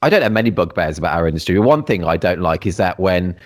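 A middle-aged man with a lowish voice hosts a relaxed conversation. His pitch swings widely as he exclaims and reacts. He often restarts his sentences mid-thought. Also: fast. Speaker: podcast host. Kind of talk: casual chat.

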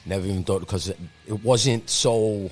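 Man, very low voice, native italian speaker, casual swearing storyteller, light music underneath. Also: normal speed. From Brazil. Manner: loose, profane, rambling storytelling. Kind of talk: casual chat.

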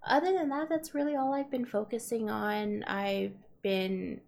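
A woman's voice: fairly high pitch, steady tone, unhurried, gravelly, a little mellow. A young woman hosting a casual podcast chat. She talks in a rambling, reflective way, with lots of fillers and restarts. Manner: rambling, reflective, laughs often. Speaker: podcast host. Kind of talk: casual chat among friends.

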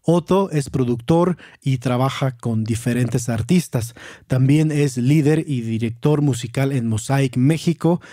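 A man's voice: medium pitch, unhurried, warm tone, no echo, clean studio sound. A man hosting a casual podcast interview, friendly and full of slang. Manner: friendly, uses Mexican slang. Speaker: podcast host.

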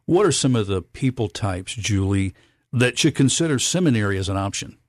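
A man's voice: on the low side, animated, fairly quick, no room sound, clean studio sound. A man hosting a broadcast interview, introducing his guest and asking friendly questions. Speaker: radio show host, interviewer.

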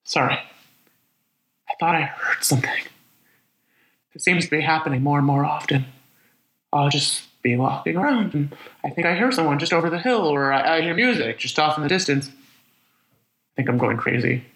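Male lone survivor, weary and reflective, higher-pitched bright voice, halting conversational monologue, radio drama. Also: roomy sound, poor audio. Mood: lonely, uneasy. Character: lonely, weary, reflective male survivor wandering after disaster. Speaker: radio play actor. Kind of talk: dramatic monologue, spoken like an audio diary.

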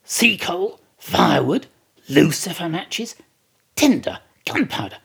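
A man's voice: high-pitched, sing-song, slow, vocal fry, husky, voice pushed up, full character voice. A man voicing a sarcastic, indignant second mate of a whaling ship in a theatrical, emphatic reading of a classic novel. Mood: sarcastic outrage.

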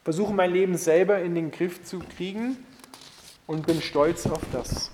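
A man delivering a sermon as a preacher, in an emphatic, rhetorical manner that swings between forceful and hushed. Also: high voice, measured pace, noisy background. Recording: long hall reverb.

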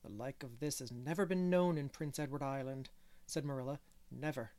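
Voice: mid-range voice, moderate pace, darkish tone, lightly acted. A reader voicing a stern, solemn older woman in an audiobook of a classic novel. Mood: grim. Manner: grim, solemn.

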